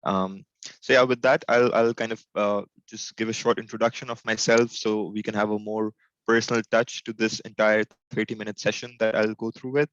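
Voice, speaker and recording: A young man from Pakistan talks through a webinar on a video call. His voice sits low and flat, and it comes across dull and lo-fi in a dry room. He is informal and humble, with frequent "uh" and restarts. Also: normal speed, no room sound. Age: young adult. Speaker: presenter. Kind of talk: webinar presentation.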